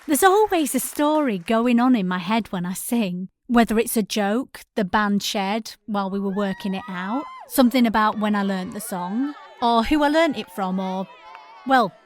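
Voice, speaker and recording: An actress playing a haunted folk singer who shapeshifts, narrating her story in a measured, lyrical, reflective way in an audio drama. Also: a little high, a little mellow, a little hiss or hum. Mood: wistful, reflective. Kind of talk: audio drama monologue, first-person narration.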